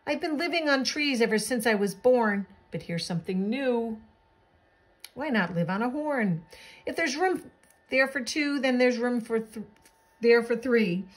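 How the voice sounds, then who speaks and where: A woman's voice: fairly high pitch, expressive, measured pace, pitched above the performer's own voice. A woman voicing a cheeky, breezy and presumptuous little male bird in a children's storybook. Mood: admiring, breezy.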